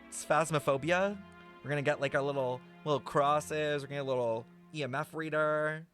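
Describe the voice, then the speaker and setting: A young man streaming casual, playful game reactions, excitable and slangy with plenty of swearing. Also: a little high. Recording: game music behind.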